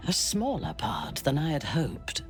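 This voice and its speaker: A reflective woman speaks softly and formally. She talks slowly and calmly. Game music plays behind her. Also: low-pitched, full character voice. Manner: soft, measured, formal. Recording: game dialogue over music.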